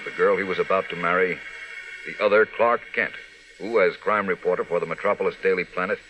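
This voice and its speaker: A man's voice: low-pitched, pushed and bright, poor audio. A male narrator declaiming solemnly and dramatically in the style of a 1940s radio serial. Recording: old radio recording with music behind.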